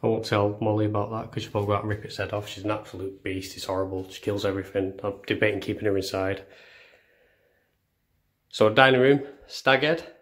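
A man's voice: lowish voice, steady tone, a little fast. A young man giving a casual house tour, chatty and self-deprecating, as he films on a handheld phone. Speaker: vlogger. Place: indoors, walking through rooms of a house. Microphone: handheld phone camera.

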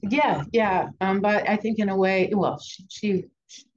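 A woman's voice: medium pitch, a little slow. An older woman with a cold, a playwright speaking hesitantly with many ums and restarts in a video call interview from her home study. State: has a cold, deeper voice. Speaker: playwright guest. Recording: dull lo-fi call audio, faint noise.

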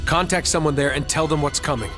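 An actor playing a determined, defiant young military commander in a video game. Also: male voice, a little high, fairly even intonation, fast talker, lightly acted. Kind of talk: video game cutscene acting. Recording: loud music and battle sounds behind. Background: loud music, artillery fire.

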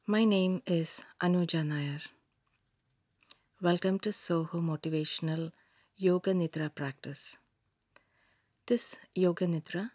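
A woman guiding a yoga nidra meditation in a calm, soothing manner, giving gentle instructions in a soft, breathy voice with long pauses. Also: lowish voice, dry recording, studio quality. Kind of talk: scripted guided meditation narration.

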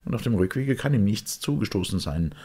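A man acts out a puzzled, self-assured doctor in a detective audiobook. The voice is raised well above the reader's own, husky and swooping, with a deliberate, explanatory way of speaking. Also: lowish voice, animated, a little mellow, voice pushed up. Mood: puzzled, insistent.

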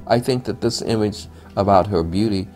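Deep-voiced man, slow and thoughtful. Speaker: documentary photographer. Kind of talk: interview. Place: indoor home room. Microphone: lapel mic. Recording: music bed underneath.

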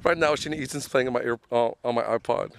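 A man's voice: medium pitch, quick pace, gravelly. Rambling man vlogging from a phone. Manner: rambling, chatty, lots of ums and giggles. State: slightly hoarse from losing his voice. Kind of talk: casual self-filmed travel vlog. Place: outdoors at a bus stop, then on a bus and a train. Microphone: phone held at arm's length. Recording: faint vehicle and street noise behind.

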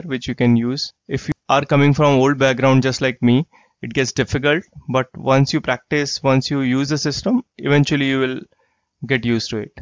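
A man walking through a software tool in a screen-share tutorial, explaining plainly and repeating himself. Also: mid-range voice, no room sound. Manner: plain explanatory, repeats phrases like "you get the idea". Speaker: software trainer. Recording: dry, slightly dull lo-fi voice track.

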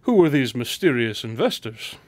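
A man's voice: sing-song, normal speed, dry recording, close to an everyday voice. A man playing a shrewd, exacting detective with a dry, precise, formal manner in a radio drama. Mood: probing. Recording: clear background noise, low rumble.

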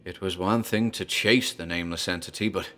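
A man giving measured, grave scripted horror narration. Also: deep voice, bright, forceful tone.

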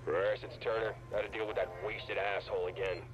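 An actor playing a weary, irritated space-station lawman, gruff and fed up, in a video game audio log with a radio-like filter. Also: lowish voice, fairly even intonation, fairly quick, dull audio.

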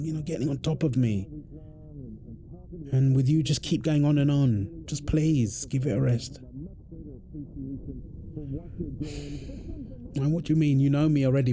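A man's voice: mid-range voice, lightly acted. Man playing a worn-out husband over background noise. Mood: tense, apologetic. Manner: weary sighs, snappy, then apologetic. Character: tired, irritable husband, firefighter home from work. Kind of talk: intimate roleplay audio drama.

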